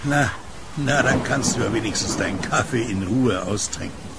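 Man playing a gravelly, genial night kiosk keeper. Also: mid-range voice, moderate pace, close to an everyday voice. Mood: teasing, genial. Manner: chatty, teasing, soothing to his dog. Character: genial solitary male late-night kiosk keeper, fond of his dog. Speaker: voice actor in a horror radio play. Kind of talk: German horror radio drama acting. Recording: noisy storm ambience behind voice.

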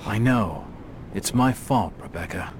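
A man's voice: fairly low pitch, lively intonation, a little slow, background noise. An actor playing a troubled, earnest male investigator, an outsider in town, in a video game. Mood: guilt-ridden. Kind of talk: video game cutscene acting.

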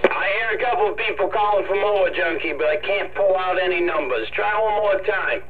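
High voiced guy chatting on cb radio, friendly, lots of call signs and sign-offs. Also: brisk. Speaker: CB radio operator. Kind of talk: casual radio contact chat. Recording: thin phone-like CB radio sound, chatter.

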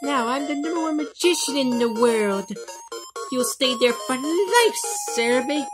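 A woman's voice: high voice, expressive, measured pace, loud music behind the voice. A woman voicing a gloating, taunting villain witch in an amateur anime fandub, mocking and haughty.

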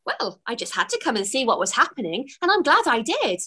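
A woman's voice: a little high, huge pitch swings, brisk, pushed and bright, breathy edge, exaggerated voice. A woman voicing a cheerful, friendly young boy elf who speaks reassuringly.

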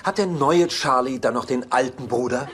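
A man playing a sarcastic, selfish bachelor in a sitcom dub, grumbling and deadpan. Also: mid-range voice, moderate pace, husky. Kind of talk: dubbed sitcom dialogue. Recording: noisy TV sitcom soundtrack.

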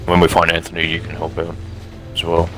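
A man playing a gruff, guarded survivor camp boss in a video game roleplay, speaking bluntly and matter-of-factly. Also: low-pitched, completely flat delivery, fairly quick, over music, close to an everyday voice. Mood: grim, firm. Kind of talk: improvised roleplay acting in a video game skit.

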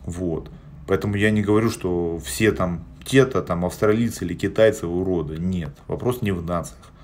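A man's voice: deep voice, normal speed, darkish tone, a little hiss or hum. A man in his forties chatting on a live stream from a small indoor room, answering viewer questions bluntly, with many hesitation sounds. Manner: plain-spoken and blunt, with frequent hesitation sounds. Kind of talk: casual live-stream chat. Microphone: phone held at arm's length.

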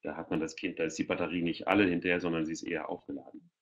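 A man's voice: deep voice, flat delivery, very fast. A middle-aged man talking informally as an expert in an online discussion, speaking into a headset. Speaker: expert panelist. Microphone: headset on a video call. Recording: dull, lo-fi video call audio.

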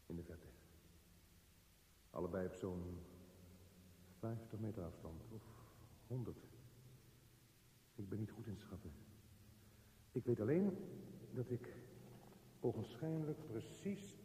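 Scared guy alone in a tunnel, halting radio drama monologue, mellow voice, very echoey. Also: lowish voice, animated. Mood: brooding, uneasy. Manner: halting, fragmented, intense interior monologue. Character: frightened man lost in a dark railway tunnel, brooding. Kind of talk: radio play monologue acting. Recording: echoey reverberant tunnel sound, faint hiss.

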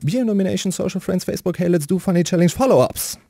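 A man's voice: sits high, fast talker, vocal fry, mellow, dry recording, studio quality. A man voicing a funny internet video narrator in a comic voice-over, rattling off clipped phrases. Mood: brisk, comic.

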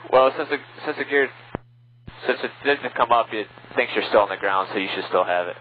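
A man's voice: mid-range voice, fairly quick. A man, an airline pilot, making casual calls over the radio. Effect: radio transmission. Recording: muffled, hissy cockpit radio.

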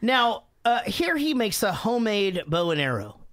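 A man's voice: high voice, moderate pace. A man hosting a casual movie podcast, joking and swearing as he talks through the film. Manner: profane, joking, animated. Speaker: podcast host.

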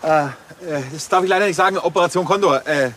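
An awkward, polite, bumbling policeman in a crime comedy drama. He sounds flustered and high-pitched, with faint noise behind. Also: quick pace, a bit bright.

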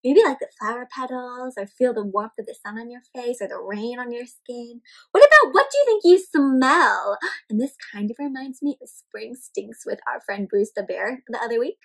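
Young woman doing a storytime for little kids, really high bright voice, super expressive. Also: moderate pace, creaky, poor audio. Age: young adult. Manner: animated, expressive, with questions and gasps addressed to children. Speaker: children's read-aloud host. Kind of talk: interactive picture-book read-aloud for young children. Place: indoor room at home with plants and bookshelf.

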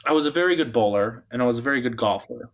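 A young man who was born in Bulgaria, a guest on a radio interview, talking in a relaxed, halting way with many ums. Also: medium pitch. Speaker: opera singer guest. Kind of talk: casual interview talk. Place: radio studio.

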